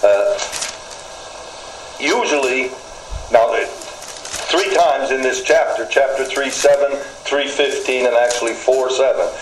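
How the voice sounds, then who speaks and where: An older man preaching a sermon, deliberate, with folksy asides to his listeners. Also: mid-range voice. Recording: roomy echo, faint background noise.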